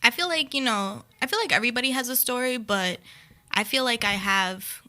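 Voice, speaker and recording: A woman's voice: on the high side, a little fast, bright, forceful tone. A young adult woman from the Dominican Republic, a native Spanish speaker, talking casually and playfully as a podcast guest in slangy, informal speech. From born in the Dominican Republic, moved to the US as a small child. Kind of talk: casual personal conversation.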